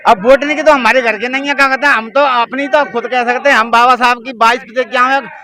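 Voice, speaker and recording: A man from rural Madhya Pradesh with a rural central Indian Hindi accent, answering an interviewer in a halting, repetitive way. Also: extremely high pitch, steady tone, pushed and bright. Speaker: party supporter being interviewed.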